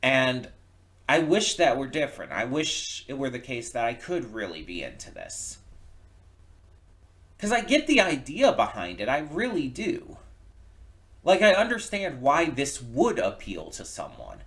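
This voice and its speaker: A man giving casual, unscripted reaction commentary, thinking aloud in a reflective, halting way and often repeating words. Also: mid-range voice, big pitch swings, fairly bright tone. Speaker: reaction video host. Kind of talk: casual unscripted commentary, post-viewing thoughts.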